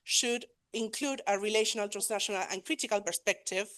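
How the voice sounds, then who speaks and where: Woman giving a conference talk in english, lots of ums and restarts, mid pitch voice. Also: a little fast, fairly bright tone. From Argentina. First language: Spanish. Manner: hesitant, many fillers and self-corrections. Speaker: conference presenter. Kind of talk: academic presentation.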